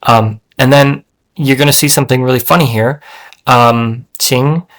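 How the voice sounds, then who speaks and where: A man's voice: mid-range voice, fairly even intonation, normal speed, a bit bright. Voice-over: a casual man thinking aloud. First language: English. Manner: thinking aloud with frequent fillers and restarts. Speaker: app developer demoing his language app. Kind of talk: casual screen-share software demo.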